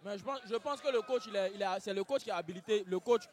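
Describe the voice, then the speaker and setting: A man with an Ivorian accent giving live fight commentary, explaining technique in halting, repeated phrases. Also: high-pitched, darkish tone. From Ivory Coast.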